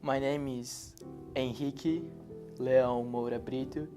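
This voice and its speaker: A man from Brazil, an exchange student talking haltingly in a documentary interview. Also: medium pitch, little pitch movement, music in the background. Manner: hesitant, many fillers and restarts.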